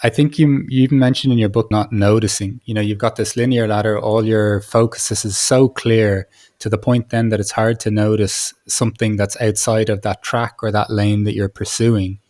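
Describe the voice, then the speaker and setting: A man hosting a reflective interview, speaking in a halting way and often rephrasing as he searches for words. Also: fairly low pitch, steady tone, a little mellow. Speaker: podcast host.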